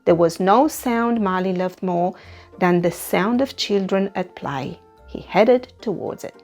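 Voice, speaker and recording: A woman reading a picture story aloud to young children, dramatic and expressive. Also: medium pitch, measured pace. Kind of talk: animated read-aloud narration for young children. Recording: music behind the voice. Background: music.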